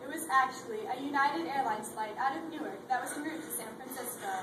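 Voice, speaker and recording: A young woman acting as a formal TV news anchor delivering bulletins, in a high voice. The sound is echoey and distant, with steady noise. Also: fast talker, lightly acted. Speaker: readers theatre performer. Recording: echoey hall, distant, noisy.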